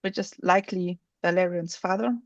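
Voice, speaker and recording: A woman presenting on a video call, slowly walking through a software feature while she shows her screen. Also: lowish voice, steady tone, dry recording, muffled recording. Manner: slow, explanatory, thinks aloud while showing her screen. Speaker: webinar presenter.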